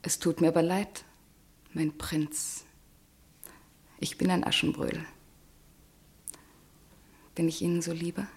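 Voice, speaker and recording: An actress playing a poor young American woman taken for an heiress, speaking with composed, gently teasing wryness in a radio drama. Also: sits low, flat delivery, slow pace, long pauses. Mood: wry. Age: young adult.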